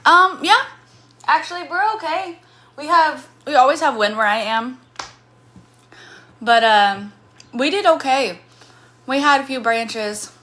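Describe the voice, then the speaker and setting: A woman's voice: high-pitched, lively intonation, a little slow, pauses often, pushed and bright. A woman in her thirties from Missouri with a slight Missouri accent, chatting casually on a livestream and answering chat comments in a rambling way. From Missouri, USA.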